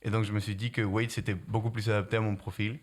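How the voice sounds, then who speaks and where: A young man from Guatemala speaks with a slight Spanish accent. His voice sits a bit low, and he answers casually in halting phrases, restarting and hunting for the right word. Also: little pitch movement. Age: young adult. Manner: halting, many restarts, searches for words. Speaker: prep-school student.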